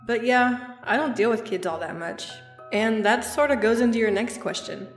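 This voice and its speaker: A woman's voice: a little high, moderate pace, close to an everyday voice. A woman voicing a curt, irritable night security guard in a comic dub, blunt and dismissive in manner. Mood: defensive, blunt. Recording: echoey, faint music behind.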